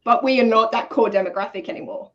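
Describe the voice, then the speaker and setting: A woman's voice: on the high side, fast talker, highs cut off. A woman speaking informally as a co-host in a casual book discussion.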